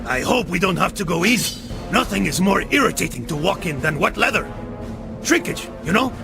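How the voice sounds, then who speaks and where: Very high-pitched, brash joker knight. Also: male voice, sing-song, brisk, vocal fry, exaggerated voice. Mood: wry grumbling. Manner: jocular, mocking, loud-mouthed. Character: brash, wisecracking male mercenary knight, cheerfully violent. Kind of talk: video game voice acting. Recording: dramatic music behind the voice.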